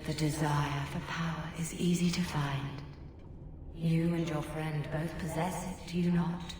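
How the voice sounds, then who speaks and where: A woman voicing a seductive, calmly manipulative female demon in a video game, speaking in a silky, deliberate way. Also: sits low, recorded from a distance. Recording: echoing cavernous reverb, noisy background.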